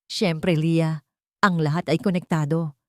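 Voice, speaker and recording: A woman's voice: deep voice, fairly even intonation, measured pace, darkish tone, no echo, studio quality. A woman voicing a haughty older mother in a story, snappish and dismissive. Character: haughty, irritable older mother of a grown son.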